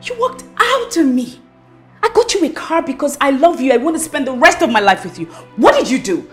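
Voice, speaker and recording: A woman's voice: high voice, big pitch swings, a little fast, soft background music. A woman playing an indignant, entitled young girlfriend, demanding and defiant, in a screen drama.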